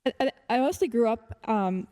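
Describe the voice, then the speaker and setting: A woman's voice: fairly high pitch, animated, moderate pace, mellow. A teenage girl, a student poet, who reads a poem and then answers questions with many fillers and restarts. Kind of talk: poetry reading and casual answers.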